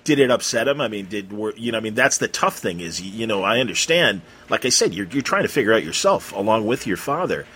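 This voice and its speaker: A man's voice: on the low side, lively intonation, fast talker, hardly any pauses, bright, forceful tone, slightly rough. An American man from Chicago interviewing someone in a casual, informal way, musing and rambling as he goes. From Chicago, United States.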